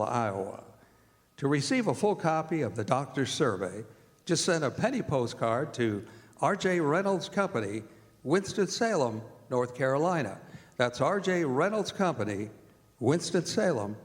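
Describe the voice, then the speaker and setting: Male American radio announcer, lively swooping delivery, vintage sponsor-read style, live in a reverberant hall. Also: medium pitch. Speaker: announcer and director of a radio theatre group. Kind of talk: old-time radio announcing with scripted ad reads. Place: performing arts center stage. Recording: echoey hall with music.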